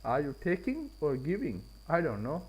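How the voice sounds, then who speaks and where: Casting: male live-stream trading host, Pakistani accent, voice a bit on the high side, casual and repetitive for emphasis. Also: wildly swooping pitch, moderate pace, slight background noise, low-quality recording. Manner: repeats and rephrases points for emphasis.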